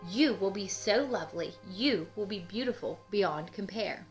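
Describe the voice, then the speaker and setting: A woman's voice: medium pitch, animated, moderate pace, close to an everyday voice, poor audio. A woman voicing a doting, fussy married woman from a storybook, with gushing emphasis. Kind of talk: storybook character voice. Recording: music under the voice.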